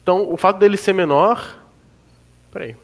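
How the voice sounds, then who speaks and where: Man with a slightly high voice, easygoing and quick to joke, thinking out loud over code on a call. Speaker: coding dojo participant. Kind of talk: casual pair-programming chat on a video call. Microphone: video call.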